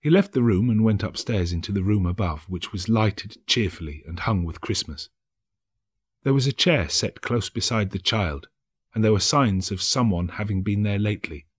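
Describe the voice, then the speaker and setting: Expressive, solemn male storybook narrator. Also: fairly low pitch, moderate pace, husky. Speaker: narrator, actor reading an audiobook. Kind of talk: dramatic scripted storybook narration.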